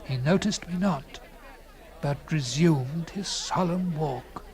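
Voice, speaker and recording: A man reading a classic literary story in a dramatic first-person style, with theatrical, deliberate phrasing and swelling emphasis. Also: a little high, darkish tone, some chatter behind. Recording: clearly noisy with background ambience.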